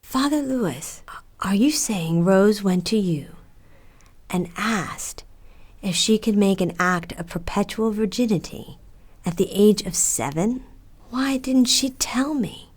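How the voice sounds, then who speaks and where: A woman playing a mother and nun in an audio drama, with a deliberate, dramatic delivery. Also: medium pitch, husky. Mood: surprised. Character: stern, busy mother, later a reflective nun.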